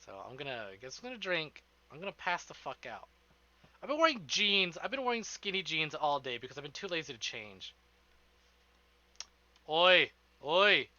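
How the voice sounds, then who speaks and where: A man's voice: on the high side, very animated, moderate pace, long pauses, sharp tone, dry recording, muffled recording. A man streaming live, chatting casually with his viewers in an informal, rambling way and swearing freely.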